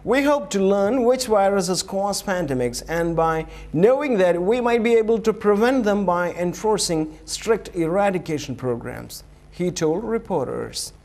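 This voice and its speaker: A man's voice: high-pitched, expressive, measured pace, hardly any pauses, darkish tone. A man reading a scripted news bulletin in a formal announcer manner. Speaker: news reader.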